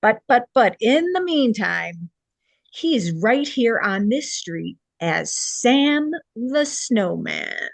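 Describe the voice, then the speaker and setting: Actress as kind young woman, encouraging, expressive pitch swings, dry sound, drama table read. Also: takes it slowly, gravelly, no echo, full character voice. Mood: reassuring.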